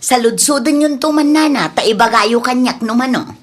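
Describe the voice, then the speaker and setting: Stubborn, scheming Filipino landowner cousin, female, played by an actress, higher-pitched voice, radio drama. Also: moderate pace, lightly acted. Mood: probing, coaxing. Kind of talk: Ilocano radio drama acting.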